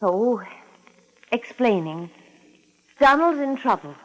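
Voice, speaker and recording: A woman's voice: a little high, expressive, takes it slowly, poor audio. An actress playing an anxious, evasive young woman hiring a detective, hesitant, in 1950s radio drama style. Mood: indignant. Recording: vintage 1950s radio broadcast.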